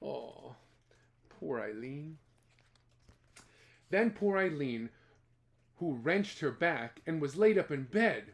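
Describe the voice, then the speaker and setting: Animated man telling a children's story. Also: a little high, slow, creaky, pauses often. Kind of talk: expressive children's storytelling, part read and part ad-libbed.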